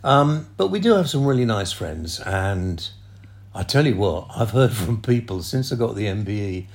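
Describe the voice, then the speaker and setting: Deep-voiced older man, chatty. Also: animated, moderate pace, darkish tone. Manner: chatty, laughs often. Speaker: podcast host. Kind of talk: casual chat.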